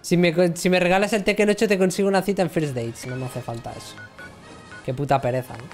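A man in his thirties jokes with his viewers in casual banter while he plays, often mocking himself. His voice sits a little high. Faint game music plays behind him as he talks into a desk mic at home. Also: quick pace. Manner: joking, self-mocking. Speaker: livestream gamer. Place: room at home, seated in gaming chair.

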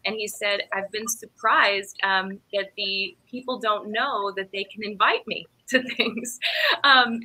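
A woman's voice: medium pitch, pushed and bright, poor audio. A young adult woman speaking earnestly on a video call panel, chatting with fillers. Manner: earnest, conversational, many fillers. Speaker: lay ministry panelist. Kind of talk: casual panel discussion. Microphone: webcam on video call.

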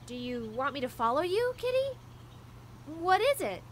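A woman playing an eager, excitable little boy in an audio drama, exclaiming in a childlike way. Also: sits high, moderate pace, a little hiss or hum. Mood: curious. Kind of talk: audio drama character acting.

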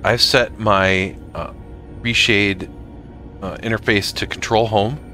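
A man with a low, bright and crisp-edged voice walks through a casual gaming tutorial. He speaks slowly and haltingly, breaking off mid-sentence before carrying on. Faint music plays behind him. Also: fairly even intonation. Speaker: gamer making a tutorial video. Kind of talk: casual software tutorial narration.

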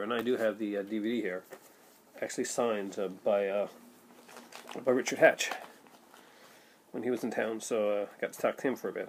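A man in his forties talks casually into a desk webcam in a room at home, picked up by the built-in mic. His voice sits fairly low, and he rambles along, stopping and restarting with plenty of fillers. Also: slight background noise. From Canada. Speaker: vlogger, film collector. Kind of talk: casual chat to camera. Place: home room with shelves of films, indoors.

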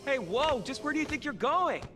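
A man's voice: very high voice, animated, normal speed, heavy background noise. An actor playing an eager, restless young farm boy hero in a video game cutscene. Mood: curious. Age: young adult. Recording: game sound effects behind.

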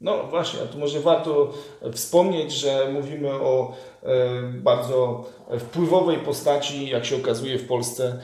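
A man's voice: mid-range voice, unhurried, a little mellow. A man in his thirties, a journalist earnestly interviewing a guest, with many fillers and restarts. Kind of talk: political interview. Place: room with leather sofa, indoors. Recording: echoey room.

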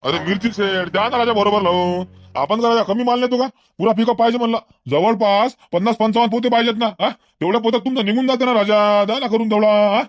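A man's voice: high voice, quick pace, no echo, studio quality, lightly acted. A man voicing an impatient cartoon market buyer, blunt, nagging and folksy, in comedic dialogue. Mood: insistent bargaining. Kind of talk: comedic cartoon dialogue in colloquial rural Marathi.

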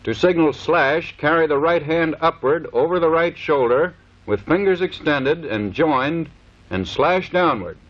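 A man's voice: a little high, measured pace. A man giving scripted, matter-of-fact instructional narration for a training film. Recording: dull lo-fi 1930s film soundtrack.

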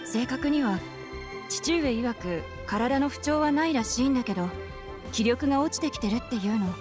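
An actress playing a polite, caring young woman in a video game, with a gentle and earnest manner. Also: high voice, lively intonation, moderate pace, over music. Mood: worried, wistful. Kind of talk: Japanese video game voice acting.

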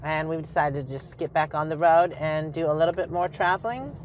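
A woman's voice: deep voice, a little fast, darkish tone. A middle-aged woman chatting casually to the camera while driving her RV, talking to herself about the road. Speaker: RV travel vlogger. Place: inside a moving RV cab. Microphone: dash or handheld camera in vehicle. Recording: road noise behind.

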